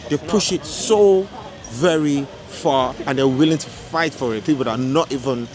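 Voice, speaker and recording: A man interviewing someone outdoors, curious and quick to agree. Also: medium pitch, background noise. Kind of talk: street interview.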